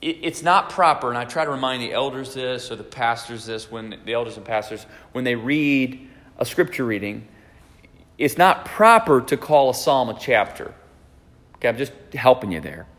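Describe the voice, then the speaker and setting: A man's voice: mid-range voice, lively intonation, moderate pace, a bit bright. Man in his forties, pastor teaching in a church, chatty, hall reverb. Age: 40s. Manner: conversational, checks in with listeners. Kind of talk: teaching sermon. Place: church sanctuary.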